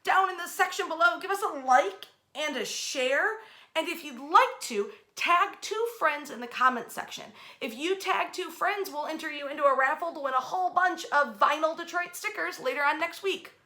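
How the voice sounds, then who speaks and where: A woman in her thirties hosting casual history storytelling to camera, with an emphatic, expressive delivery. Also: sits high, moderate pace. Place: bedroom, indoors. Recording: roomy, echoey indoor sound.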